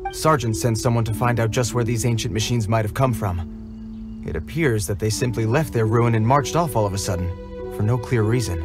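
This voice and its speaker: A man's voice: on the low side, fairly quick, close to an everyday voice. A man voicing a formal, dutiful guard soldier in a game cutscene, who gets flustered around children. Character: earnest, formal young male guard soldier. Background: game music.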